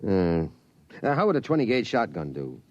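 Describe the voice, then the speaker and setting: An affable middle-aged father, a lawyer. His voice is low and mellow with lively swings of intonation, in 1950s radio acting style. It comes through a dull vintage recording. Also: moderate pace. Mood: genial.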